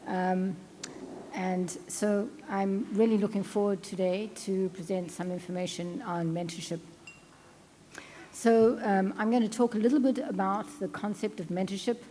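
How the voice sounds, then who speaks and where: A woman's voice: medium pitch, dark tone, slight background noise. A woman from South Africa with a South African accent, presenting at an academic conference in a chatty way with many ums and restarts. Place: lecture hall at a conference.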